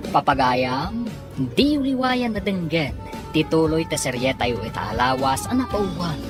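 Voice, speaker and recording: A woman's voice: low voice, sing-song, moderate pace, over music. A woman reading scripted announcements and credits as the announcer of a radio drama, in a dramatic style.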